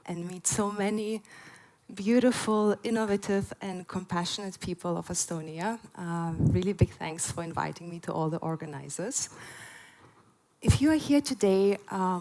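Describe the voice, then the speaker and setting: A woman's voice: medium pitch, a little mellow. A woman in her forties, originally from Lithuania, giving an earnest prepared talk at a festival with frequent "uh" fillers. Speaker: conference speaker. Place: festival stage before an audience.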